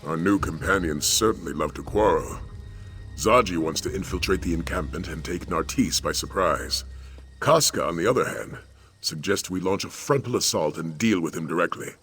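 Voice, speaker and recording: A man voicing a firm, authoritative mission leader in a video game, measured and formal. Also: low voice, a bit bright, faint music behind. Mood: dryly observant. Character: composed, authoritative male mission leader, patient but firm. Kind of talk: video game character dialogue.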